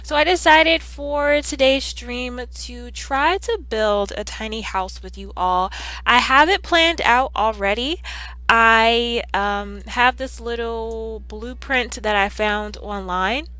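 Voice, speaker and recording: A young woman chatting casually on a live stream, upbeat and rambling, with a slightly hoarse, scratchy throat. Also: a little high, takes it slowly, bright, forceful tone, dry recording.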